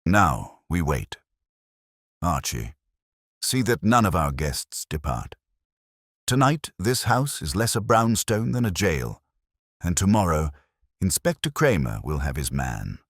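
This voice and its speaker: A man voicing a commanding, shrewd detective in an audiobook, speaking in deliberate, authoritative statements. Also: sits low, very animated, fairly bright tone, no room sound, clean studio sound, exaggerated voice. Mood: triumphant, calm.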